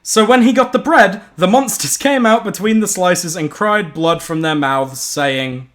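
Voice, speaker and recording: A man's voice: sits high, unhurried, a bit bright. A man hosting a casual group story reading, giggly and playful as he narrates and banters.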